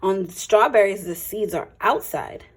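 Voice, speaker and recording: A woman teacher reading a picture book aloud to young children, with expressive phrasing and simple explanatory asides. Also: medium pitch, unhurried, breathy edge.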